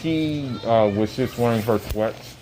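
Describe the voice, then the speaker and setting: A man hosting a casual conversation, talking in a relaxed, hesitant way with many fillers and restarts. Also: medium pitch, unhurried, a little hiss or hum, poor audio.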